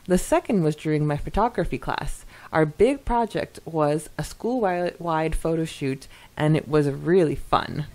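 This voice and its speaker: A woman co-hosting a podcast in casual, chatty style, reading comments with hesitant pauses and giggles. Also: sits low, animated, a little mellow. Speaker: podcast co-host.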